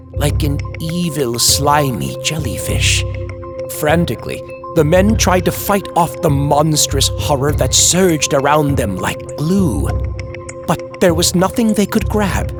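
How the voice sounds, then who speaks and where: Creepy old radio style guy telling horror, big pitch swings, drawn out, music behind. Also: sing-song. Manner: ominous, drawn-out, dramatic delivery. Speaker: horror storyteller host. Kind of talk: theatrical scripted horror narration, old-time radio style. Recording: music under the voice.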